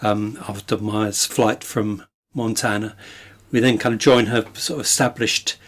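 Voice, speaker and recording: A man's voice: on the low side, steady tone, measured pace. A man hosting a literary interview, putting questions in a hesitant, thoughtful, conversational way. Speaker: interviewer, podcast host.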